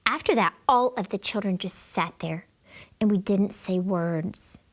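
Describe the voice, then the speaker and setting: A woman reading a children's book aloud in an expressive, theatrical storytelling manner. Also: mid-range voice, unhurried. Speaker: storybook reader. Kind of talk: animated children's book read-aloud in a child narrator's words.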